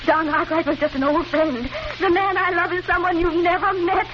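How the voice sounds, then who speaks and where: A muffled, noisy old radio recording carries an anguished wife. Her voice is extremely high and full of emotion, scornful and melodramatic in the 1940s manner. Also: fairly even intonation, normal speed.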